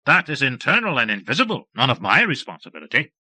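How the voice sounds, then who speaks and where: Fussy male machine-keeper, put on by a man, high-pitched and defensive, with lively bright delivery. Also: fast talker, husky, no room sound, exaggerated voice. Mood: indignant. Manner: high, protesting, eager to excuse himself.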